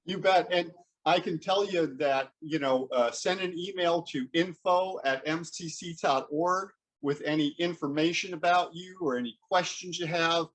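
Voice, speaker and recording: A man hosting a conversational interview, speaking in a halting way and rephrasing as he goes. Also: fairly high pitch, fairly bright tone. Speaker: interview host.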